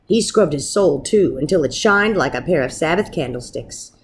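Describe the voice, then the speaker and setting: A woman reading a children's story aloud in an expressive, dramatic manner with measured pauses. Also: low-pitched, husky. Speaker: storybook reader.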